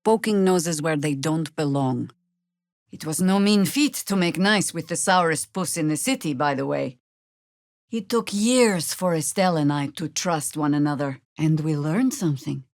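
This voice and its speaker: A middle-aged warrior druid from a fantasy game, world-weary and sardonic. Her voice sits fairly low and moves very slowly, with dry, measured delivery. The recording is close and dry, like studio game dialogue. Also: darkish tone, no echo. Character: seasoned, wry, world-weary female Harper warrior druid. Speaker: video game voice actor. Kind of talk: dramatic video game character dialogue.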